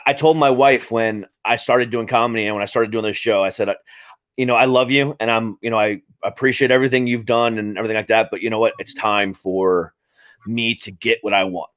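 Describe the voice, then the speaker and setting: A man's voice: medium pitch, a bit bright. A man in his late thirties hosting a podcast, talking casually in a rambling way with many fillers and restarts. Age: 30s. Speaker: podcast host. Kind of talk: casual interview chat.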